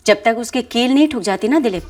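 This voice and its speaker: A high-pitched, commanding madam played by a woman from a television crime drama. She threatens and orders people about imperiously, ruthless throughout. Faint music plays behind her. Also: animated, fast talker, darkish tone, natural voice. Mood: menacing. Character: ruthless female brothel madam, commanding and menacing. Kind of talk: Hindi TV crime drama acting.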